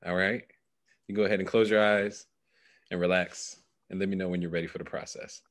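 A man in his thirties coaching a client over a headset, giving measured guided instructions. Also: low voice, level tone. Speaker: coach guiding a client through a meditation process. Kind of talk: guided meditation and coaching conversation. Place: small indoor room, video call. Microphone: headset microphone.

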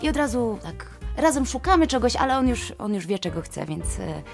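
A woman's voice: a little high, very animated, fairly quick, vocal fry, soft background music. A woman in her thirties, a voice actress, talking casually in an interview in a studio. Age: 30s. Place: studio control room, indoors.

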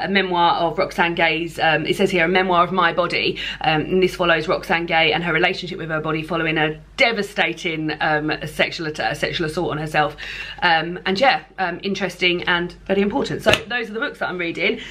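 A woman's voice: fairly low pitch, a little fast, fairly bright tone. A young British woman chatting casually to camera as a book vlogger, emphatic and gushing, rambling a little as she goes. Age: young adult. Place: indoor room lined with bookshelves.

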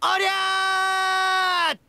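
A man playing a hyperactive, cheerful, childlike young goofball in an anime mobile game, with a bright boyish voice and an exuberant manner. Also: extremely high pitch, completely flat delivery, very slow, dry recording, exaggerated voice. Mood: wildly excited. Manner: exuberant, bursting into shouts and laughs.

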